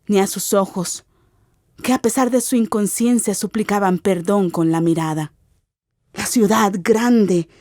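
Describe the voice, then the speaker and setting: A woman giving an expressive, theatrical narration of a literary radio drama as its narrator. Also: mid-range voice, dry recording, studio quality. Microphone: close studio mic.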